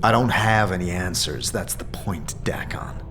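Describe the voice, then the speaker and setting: A man playing an amnesiac wanderer in a fantasy audio drama, exasperated and halting, full of urgent questions. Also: deep voice, music in the background. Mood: troubled, unsure. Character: exasperated amnesiac male wanderer, searching for answers.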